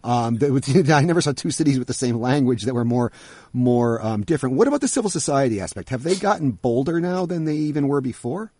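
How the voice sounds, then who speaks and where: Middle-aged male interviewer, husky, animated pitch, dry close sound. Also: fairly quick, no echo. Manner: thoughtful, restarts phrases. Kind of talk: conversational interview.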